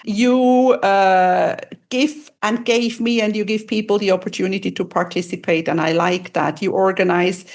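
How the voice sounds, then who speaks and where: A woman's voice: medium pitch, steady tone, moderate pace, few pauses, darkish tone. A woman hosting an interview podcast who talks in an effusive way and heaps praise on her guest. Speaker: podcast host.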